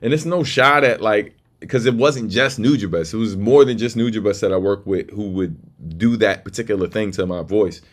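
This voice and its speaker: Deep-voiced laid-back male host. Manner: laid-back, slangy, drawn-out shout-outs. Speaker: livestream host. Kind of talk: casual livestream chat.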